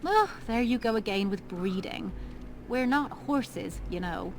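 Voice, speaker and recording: An actress playing a warm, sensible older elf woman in a fantasy video game. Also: mid-range voice, expressive, measured pace, a little hiss or hum. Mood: bickering, amused.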